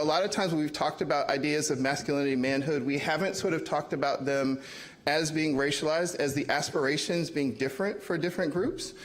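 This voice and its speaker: Casting: a male academic with a fairly high voice, measured delivery, hedging phrases throughout. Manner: measured, hedging with "sort of" and "you know". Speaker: academic panelist. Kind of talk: panel talk.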